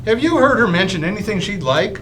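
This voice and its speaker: Fretful neighbor husband played by a man: high for a male, very lively intonation, earnest radio-comedy style. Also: fairly quick, a little hiss or hum, rough recording. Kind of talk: old-time radio comedy acting on stage.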